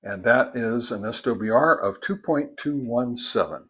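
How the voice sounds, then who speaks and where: A man giving an informal product test and demonstration, explaining methodically in a halting way. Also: medium pitch, fairly bright tone, husky. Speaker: product reviewer, host.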